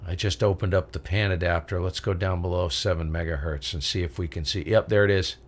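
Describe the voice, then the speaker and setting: A man with a very low voice talks casually on his amateur radio live stream from his home station, exclaiming at what he sees on screen. He comes from Vermont and spells out call signs slowly and clearly when on air. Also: fairly even intonation.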